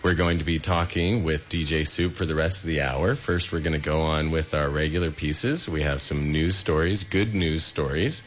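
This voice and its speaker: Very deep-voiced male radio host. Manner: measured, with filler pauses. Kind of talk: casual radio talk. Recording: dull muffled broadcast with faint noise.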